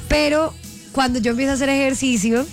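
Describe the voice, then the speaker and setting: A woman co-hosting a casual chat show, playful and bawdy, telling lively stories. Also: sits high, a little fast, faint music behind. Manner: playful, bawdy, animated storyteller. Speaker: co-host.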